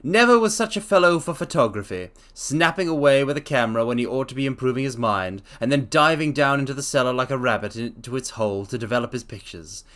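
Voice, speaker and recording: A man's voice: medium pitch, expressive, quick pace, few pauses, fairly bright tone, lightly acted. Guy doing a rambling middle-aged shopkeeper, earnest, a bit put upon, voice swings up and down a lot. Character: middle-aged male pawnbroker, plain and rambling.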